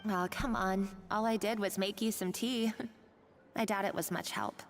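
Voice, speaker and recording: An actress playing a sympathetic, modest female cook in an anime-style game, gentle and conversational. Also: medium pitch, steady tone, measured pace, soft background music, lightly acted. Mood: apologetic, modest.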